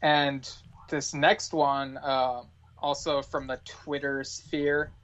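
A man's voice: medium pitch, flat delivery, slow pace, fairly bright tone. A man chatting casually as a podcast guest, tentative and matter-of-fact, on dull lo-fi audio.

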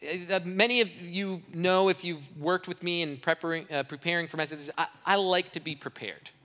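A young male pastor from Brazil tells his church about a mission trip with open, self-mocking candor. His voice sits high and rings bright and forceful. It carries a long reverb from a church hall. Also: steady tone, moderate pace. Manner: candid, self-deprecating storytelling. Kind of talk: church missions report talk.

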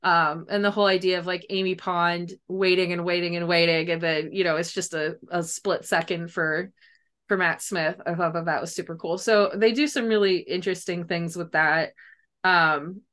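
A woman's voice: lowish voice, fairly even intonation, moderate pace, fairly bright tone. A woman talking casually as a guest author in an interview, chatty and loose, often saying like and kinda. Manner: chatty, hedging, frequent "like" and "kinda".

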